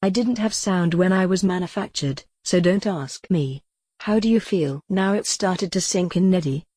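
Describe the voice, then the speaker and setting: Lowish mellow tts woman doing a calm deadpan lady computer that thinks it's a cat, comedy drama. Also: normal speed, breathy edge, no echo, studio quality. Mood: calm, matter-of-fact. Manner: deadpan synthetic text-to-speech delivery. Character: calm, wry female retro computer who thinks she's a cat. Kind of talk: scripted audio comedy drama.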